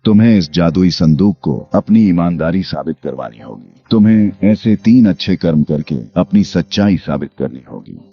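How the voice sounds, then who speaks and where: A man playing the grave, restless ghost of a murdered man, speaking in a measured way in an animated story. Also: deep voice. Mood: solemn, urging. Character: murdered man's restless ghost bound to a chest, solemn. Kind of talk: animated Hindi moral story acting. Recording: dull lo-fi audio with music behind.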